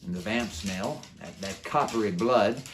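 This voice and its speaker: A man's voice: on the low side, measured pace, a bit bright, a little hiss or hum. A man voicing a brash, boastful, streetwise male werewolf in a children's storybook, with slangy, chummy, showy talk. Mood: intrigued. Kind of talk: children's book character voice.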